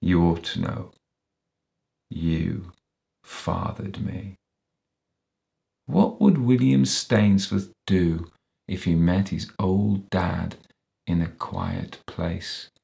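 A man's voice: deep voice, extremely slow, creaky, pauses often, dark tone, full character voice. A man reading a story, voicing a crafty, menacing ex-policeman villain, bitter and self-pitying, who sneers and taunts. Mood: sneering, slow. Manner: sneering, taunting, with sudden rises and whines.

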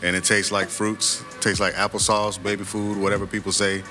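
A man from Florida with a very deep voice speaks as a guest. He is casual, plainspoken and confident, with a boastful seller's streak. Music plays clearly behind him. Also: level tone, fairly quick, bright, forceful tone. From Florida, United States. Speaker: guest, sea moss vendor. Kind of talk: casual sales pitch and chat.

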